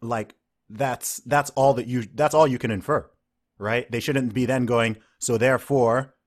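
A man who grew up in Saudi Arabia, hosting a casual conversation and talking in a loose, animated way with mocking asides. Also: medium pitch, measured pace.

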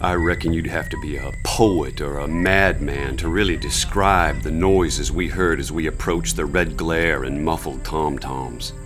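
An actor playing a grim, commanding police inspector who recounts a raid in a radio drama. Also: male voice, a bass voice, unhurried, few pauses. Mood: grave, haunted. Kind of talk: radio drama acting with narration. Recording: music under the voice.

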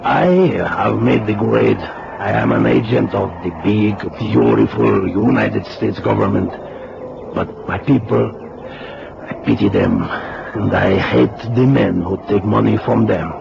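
An actor playing a world-weary, passionate Mexican-born government agent in a radio drama, with a gravelly Mexican accent and short emphatic phrases. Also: male voice, lowish voice, steady tone, slow, full character voice. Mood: wistful, bitter. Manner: ruminative, speaks in short emphatic phrases with questioning tags. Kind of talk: 1960s-70s radio drama acting. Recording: noisy, dull old radio recording.

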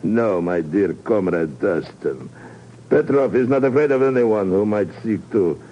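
A low-voiced, silkily menacing spy. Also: male voice, animated, measured pace, warm tone. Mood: quiet menace. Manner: silky, pompous, chuckling politeness. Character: suave, menacing, heavy-set male spy, smugly courteous. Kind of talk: 1950s radio drama acting. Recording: muffled, noisy 1950s radio recording.

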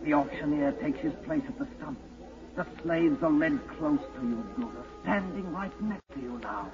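An actor playing an ominous, taunting narrator who haunts a fugitive, incantatory and theatrical, in an old radio drama. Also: male voice, fairly high pitch, normal speed, lightly acted. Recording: noisy, dull 1940s radio broadcast.

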